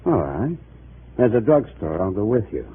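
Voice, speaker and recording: Husky, needling party comrade. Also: male voice, lowish voice, sing-song, normal speed, heavily acted. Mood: suspicious, needling. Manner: clipped, probing, sardonic. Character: suspicious, needling male communist party comrade. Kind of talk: 1950s radio drama acting. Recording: tinny, muffled old radio recording.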